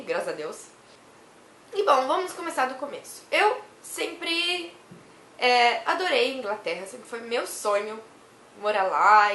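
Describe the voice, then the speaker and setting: A young woman from Brazil who is hoarse from a cough, chatting to camera in a rambling way and laughing often. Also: on the high side, a bit bright, reverberant, slight background noise. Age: young adult. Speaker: vlogger. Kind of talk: casual chat to camera.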